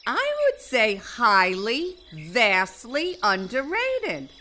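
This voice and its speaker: A woman playing a wry, affectionate, brassy female vulture in a comic audio play, with emphatic, drawn-out delivery. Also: on the high side, wildly swooping pitch, pushed and bright, exaggerated voice. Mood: fond, wry.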